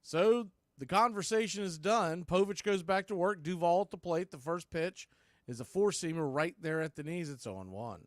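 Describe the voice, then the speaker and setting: A man with a high-pitched voice calls a baseball game as a radio play-by-play announcer. The sound is dry and close, with no room echo. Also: expressive, normal speed. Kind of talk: live sports radio play-by-play commentary.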